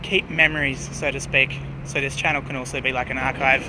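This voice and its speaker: Male vlogger, mid-pitched, relaxed and halting, recorded on a camera mic by a busy road. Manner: relaxed, hesitant with fillers. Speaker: urban explorer vlogger. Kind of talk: casual walking narration. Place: outdoors beside a busy road. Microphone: handheld camera mic, speaker off screen. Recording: outdoor camera audio with clear traffic noise.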